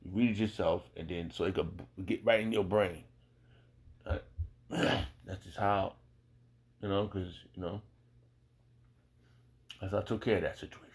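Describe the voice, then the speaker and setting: A man's voice: low-pitched, steady tone, unhurried, pauses often. A man with an American accent teaching scripture informally, in a colloquial, emphatic way, repeating phrases and checking in with rhetorical questions. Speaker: religious teacher.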